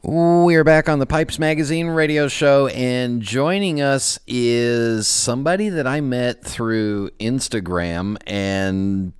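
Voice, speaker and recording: A man's voice: mid-range voice, slow pace, few pauses, clean tone. A man hosting a podcast interview in casual chat, joking and chuckling at his own quips. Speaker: podcast host.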